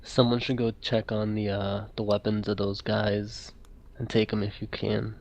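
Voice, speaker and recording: A man's voice: lowish voice, level tone, moderate pace, darkish tone. A man playing a role-playing game, casually narrating his own actions.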